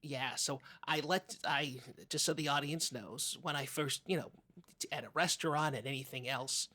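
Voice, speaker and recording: A man's voice: on the high side, normal speed, pushed and bright. A man playing an earnest, easily rattled oddball co-host on a comedy podcast, rambling and stammering as he talks. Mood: sincere, halting. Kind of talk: improvised comedy chat in character.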